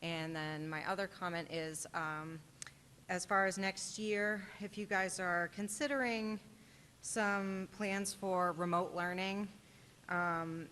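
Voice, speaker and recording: A woman's voice: fairly low pitch, steady tone, slow pace, bright, forceful tone. A woman giving public comment at a school board meeting, earnest and pointed, with frequent hesitations. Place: town meeting room. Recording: reverberant meeting room with faint noise.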